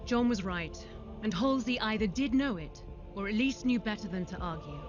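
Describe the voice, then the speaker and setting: A woman playing a solemn female supersoldier, narrating reflectively as a character in an animated sci-fi film. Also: mid-range voice, normal speed, highs cut off, music in the background, lightly acted. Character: solemn female supersoldier recalling her comrades.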